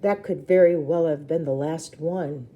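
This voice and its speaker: A woman voicing a calm but worried scientist father in a storybook, quiet, measured and tense. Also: deep voice, lo-fi sound.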